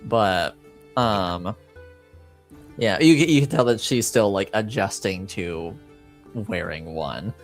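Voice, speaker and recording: A man improvising narration as game master of a tabletop roleplaying game, halting as he searches for words. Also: deep voice, faint music behind.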